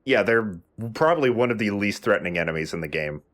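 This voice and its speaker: A man's voice: fairly low pitch, expressive, fast, fairly bright tone. A man giving casual, explanatory gameplay commentary with some swearing, as the player hosting a let's play. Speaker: let's play host playing the game. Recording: faint background noise.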